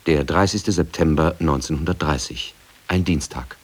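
A deep-pitched man with a rough, husky edge narrates a radio documentary. He reads from a script as the second narrator. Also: moderate pace.

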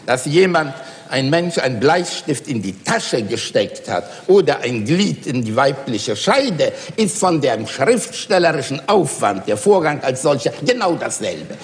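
A man's voice: medium pitch, big pitch swings, unhurried. A man acting an insistent, pedantic literary lecturer who schools his listener in a repetitive, didactic way. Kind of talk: satirical radio sketch. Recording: reverberant hall.

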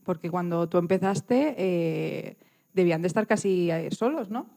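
Mellow-voiced Spanish woman interviewer. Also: lowish voice, expressive, unhurried, gravelly. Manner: informal, encouraging. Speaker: radio interviewer. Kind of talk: casual conversational interview.

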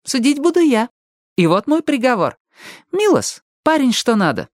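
A woman voicing a sharp, stubborn teenage girl in an audiobook, emphatic and quick to argue or tease. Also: mid-range voice, expressive, no echo, clean studio sound, voice pushed up, lightly acted. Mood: teasing, scolding. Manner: emphatic, argumentative. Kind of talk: audiobook character voice.

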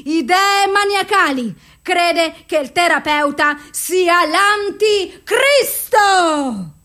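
Radio drama: female clinical reporter, very high voice, clipped theatrical recital of symptoms and doses. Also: expressive, very slow, bright, forceful tone, heavily acted. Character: clinical female voice reciting a psychiatric case file.

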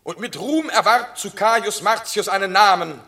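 An actor playing a ceremonial Roman herald, proclaiming formally in a classical radio drama. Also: male voice, sits high, moderate pace, bright, forceful tone. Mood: solemn proclamation.

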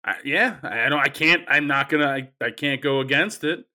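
Bright-voiced man hosting a betting show. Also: on the high side, a little fast. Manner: upbeat, stammering restarts, laughs often. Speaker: host of a sports betting show. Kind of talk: casual panel chat.

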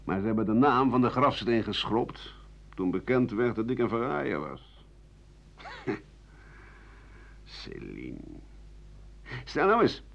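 An actor playing a bitter, sardonic old doctor-writer, grumbling theatrically through a radio play monologue. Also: male voice, mid-range voice, vocal fry, fairly bright tone, exaggerated voice. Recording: muffled old radio broadcast recording.

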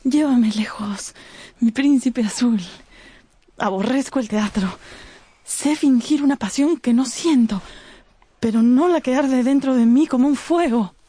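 Lovestruck girlish young woman, radio drama, high-pitched, mellow, breathy, rapturous, theatrical. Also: unhurried, no room sound. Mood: tender, ardent. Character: lovestruck, dreamy young actress pouring out her heart.

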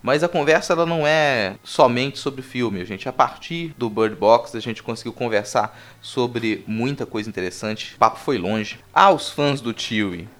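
A man's voice: medium pitch, normal speed, hardly any pauses, a bit bright. A Brazilian man hosting a podcast, giving articulate, wry commentary in a discursive, conversational way. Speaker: podcast host.

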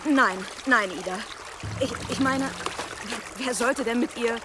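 A woman's voice: a little high, slow, background noise. An actress playing a naive, sensitive young village girl in naturalistic audio drama dialogue. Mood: upset, protesting. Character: naive, easily upset village girl, promised in marriage.

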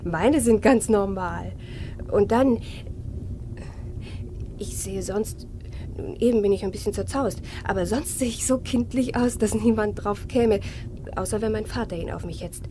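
Woman playing young emigrant girl, hard-bitten but hopeful, earnest, medium pitch, noisy old 50s radio drama. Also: measured pace, lightly acted. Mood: determined, earnest. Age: young adult. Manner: earnest, determined, dropping into hushed confiding. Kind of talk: 1950s German radio drama acting. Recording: noisy 1950s radio recording.

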